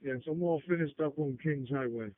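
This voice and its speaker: Guy calling in on a work radio, kinda high voice, dry and tinny, halting casual delivery. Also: no echo. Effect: two-way radio. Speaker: public works road crew driver. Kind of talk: two-way radio check-ins. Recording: tinny narrowband radio transmission.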